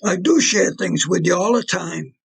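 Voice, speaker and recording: A man acting in an audio play as an irritable, menacing mob fixer boyfriend, with blunt, slangy talk and a gravelly, husky voice. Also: sits high, normal speed, exaggerated voice. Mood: defensive.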